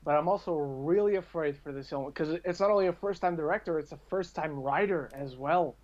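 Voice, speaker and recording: Higher-voiced man on dull, lo-fi audio. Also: a little fast, darkish tone. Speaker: podcast guest. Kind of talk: casual film podcast chat.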